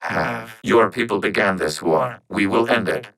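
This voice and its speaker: A man voicing a calm, logical networked robot intelligence in a video game, speaking in formal, precise, stilted phrases through synthetic processing. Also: fairly low pitch, a little slow. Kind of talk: scripted video game character dialogue.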